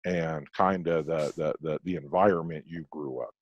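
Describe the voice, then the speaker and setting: A man co-hosting over a video call on a headset, talking in halting bursts with repeated restarts. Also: very deep voice, fairly even intonation, dry recording, dull audio. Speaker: podcast co-host. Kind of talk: casual video-call chat.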